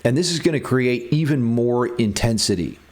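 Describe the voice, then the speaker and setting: A man's voice: mid-range voice, expressive, moderate pace. A man giving a casual, informal music theory lesson as a guitar instructor, thinking aloud as he demonstrates. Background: electric guitar playing.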